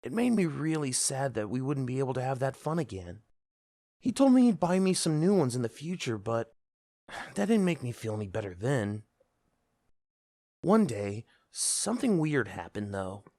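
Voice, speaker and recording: A man narrating a scripted first-person story in an expressive, earnest, boyish manner. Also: mid-range voice, normal speed, no room sound, studio quality.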